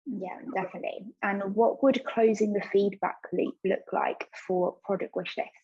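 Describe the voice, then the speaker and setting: A woman's voice: mid-range voice, fairly even intonation, moderate pace, mellow, breathy edge, dull audio. A woman moderating a conference session and putting audience questions to the speaker.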